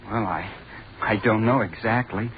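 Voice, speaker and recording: An actor playing an ambitious, socially awkward young student in an old-time radio drama, speaking eagerly but hesitantly and deferentially. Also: male voice, on the low side, unhurried, natural voice. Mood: hesitant, earnest. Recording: tinny, noisy vintage radio recording.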